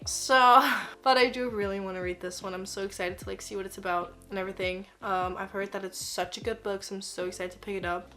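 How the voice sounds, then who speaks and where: A woman's voice: mid-range voice, a bit bright. An 18-year-old woman from Denmark, chatting casually to camera as a book vlogger, gushing and full of "like" fillers. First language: Danish.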